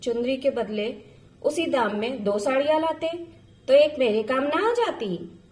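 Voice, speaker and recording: A woman storyteller voicing a bitter, quarrelsome first wife who talks in sharp taunts. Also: high-pitched, expressive, a little slow. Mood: taunting. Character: angry, bitter, defiant neglected first wife.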